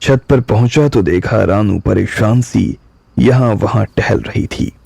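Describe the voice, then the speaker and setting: Low, gravelly male storyteller. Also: no room sound. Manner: slow, steady storytelling. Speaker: narrator. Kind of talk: scripted storytelling narration.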